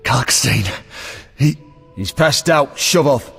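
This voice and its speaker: A man playing a brusque, rough guard in a video game. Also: mid-range voice, animated, slow pace, a bit bright, exaggerated voice. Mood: gruff. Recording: music behind.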